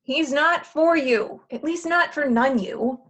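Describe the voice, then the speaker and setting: Actress as bossy, high-voiced female matchmaker. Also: fairly bright tone. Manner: brisk, sardonic, directive. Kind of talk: virtual table read of a comedy play.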